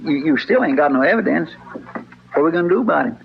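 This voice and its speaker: An actor playing a loyal, deferential western deputy with an in a 1950s radio drama. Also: male voice, on the high side, animated, fast, vocal fry. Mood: tired, folksy. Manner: folksy, deferential. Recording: noisy, muffled 1950s radio recording.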